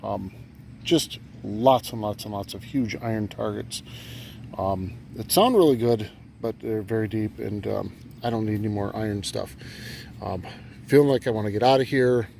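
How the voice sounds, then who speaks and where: A man with a gravelly voice giving a halting, understated casual vlog narration outdoors. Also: lowish voice, darkish tone. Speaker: metal detecting vlogger. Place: outdoors on rural farm property. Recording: noticeable outdoor background noise.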